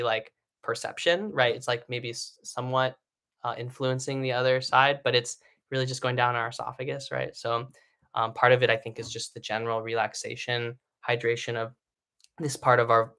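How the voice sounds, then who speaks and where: A man in his thirties with a bright, forward voice, teaching singing on a live stream from home. He talks in a loose, encouraging way, with lots of "um" and "like" and frequent restarts. The sound comes from a webcam mic in a living room. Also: mid-range voice. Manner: chatty, encouraging, many fillers and restarts.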